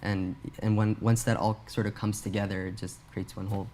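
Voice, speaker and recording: A man's voice: on the low side, level tone, fairly quick, a little mellow. A young man born in Pakistan, an a cappella singer and producer, answering interview questions in a hesitant way with many fillers and restarts.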